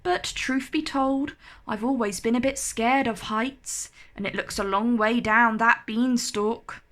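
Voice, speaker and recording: A woman voicing a lonely, gentle male giant in an earnest, emotive children's storybook style. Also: a little high, steady tone, measured pace. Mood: sad, resigned.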